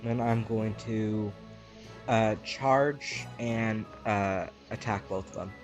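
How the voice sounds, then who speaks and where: A man's voice: fairly low pitch, fairly even intonation, slow pace, soft background music. A man playing a tabletop game, casually saying what his character will do. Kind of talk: casual chat.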